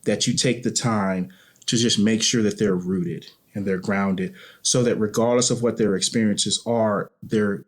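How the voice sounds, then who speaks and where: Guy with a fairly deep voice, thoughtful interview guest, earnest, circles back on his phrases. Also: steady tone, measured pace. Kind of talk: reflective interview conversation.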